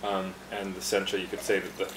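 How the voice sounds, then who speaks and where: A man with a fairly low voice demonstrates tea brewing from behind a bar, chatty and relaxed, often pausing with fillers. The room rings with echo, and steady background noise sits under his voice. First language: English. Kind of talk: casual instructional demonstration.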